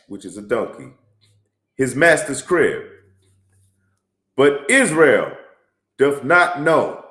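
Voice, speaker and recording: A man teaching from the Bible in a casual, repetitive way, often tagging lines with "man" and reading scripture aloud in a raised, slower voice. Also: medium pitch, a bit bright, echoey room. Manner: tags lines with "man", repeats himself. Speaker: religious teacher. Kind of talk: informal Bible teaching and commentary.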